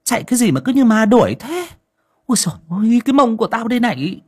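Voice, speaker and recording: A man voicing a fussy old woman, the mistress of the house, with high scolding exclamations. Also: very animated, quick pace, a little mellow, husky, full character voice.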